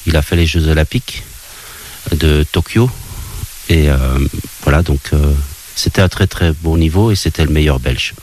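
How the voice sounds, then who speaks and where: A man of fifty, interviewed as a guest in a room at an association's premises, answering in a hesitant way with many pauses and restarts. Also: rumbling low, darkish tone, a little hiss or hum. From Belgium. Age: 50s. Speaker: guest, water polo coach. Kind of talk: casual interview talk.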